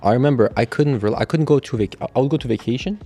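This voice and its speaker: A man's voice: mid-range voice, fast talker, warm tone. A young adult man from Russia, a native Russian speaker, telling candid stories in a casual podcast conversation. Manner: reflective, candid storytelling. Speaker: guest, catering business owner.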